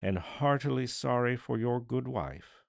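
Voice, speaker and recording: A man's voice: medium pitch, lively intonation, measured pace, gravelly, no echo, clean studio sound, voice pushed up. A man voicing a kindly working-class father in a storybook, speaking earnestly and tenderly with emphatic repeated phrases. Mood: warm, earnest.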